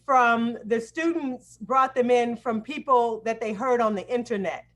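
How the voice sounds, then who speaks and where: A woman with a high-pitched voice, speaking casually as a teacher leading a group. She is on a video call, hosting an informal online class discussion. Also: measured pace.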